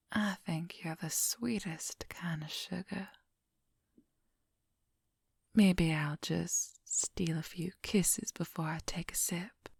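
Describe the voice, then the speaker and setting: A woman's voice: low-pitched, dry recording, clean studio sound, full character voice. A woman playing a whispering, affectionate werewolf lover. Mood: sappy, affectionate. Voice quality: soft, breathy whisper. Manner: intimate, unhurried, gently playful. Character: affectionate, teasing female werewolf lover in a forest camp. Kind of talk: whispered ASMR roleplay. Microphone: close microphone, dry.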